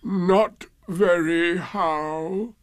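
A man voicing a gloomy old grey donkey from a storybook, with a mournful, drawn-out, heavily resigned delivery. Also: sits high, fairly even intonation, voice pushed up.

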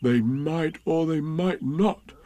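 A man voicing a slow-witted, earnest, honey-loving toy bear in a ponderous, drawn-out, musing way. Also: a little high, dark tone, no room sound, pitched above the performer's own voice. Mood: earnest, scheming.

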